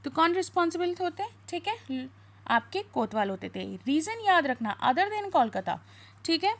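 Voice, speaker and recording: A woman's voice: very high voice, very animated, a bit bright. A woman with an Indian accent teaching an exam lesson in question-and-answer style, with an instructive manner, explaining points and reading out material. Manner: instructive, switching between spoken explanation and slower reading aloud.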